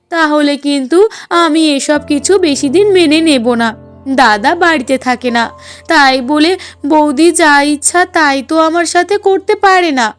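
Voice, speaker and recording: A woman's voice: high-pitched, lightly acted. A woman voicing a spiteful, whiny young sister-in-law in a cartoon, scolding and demanding. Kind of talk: Bengali cartoon dialogue.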